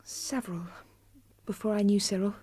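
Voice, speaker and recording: An actress plays a young Victorian gentlewoman in a radio drama, British and very proper. Her tone is mellow and breathy, with a prim, earnest dignity and a spirited streak. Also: medium pitch, steady tone, normal speed, lightly acted. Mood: flustered, indignant. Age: young adult.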